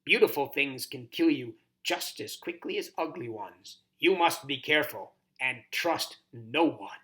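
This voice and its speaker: A man's voice: medium pitch, lively intonation, measured pace, sharp tone. A man voicing a wise horned toad mentor in a children's storybook, grave and instructive with somber warnings. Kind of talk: audiobook character reading.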